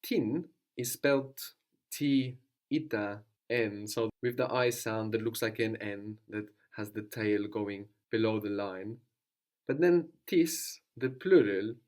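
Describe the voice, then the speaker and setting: A man's voice: lowish voice, darkish tone. A man teaching a language lesson, patiently prompting his student with questions and repeating the answers back.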